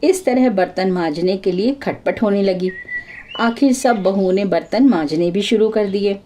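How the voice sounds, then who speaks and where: A woman's voice: mid-range voice, measured pace, dark tone, slight background noise. A woman storyteller narrating a scripted moral folk tale.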